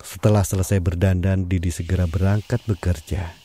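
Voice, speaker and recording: A man narrating an audio drama in a calm, measured storytelling manner. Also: deep voice, a little hiss or hum.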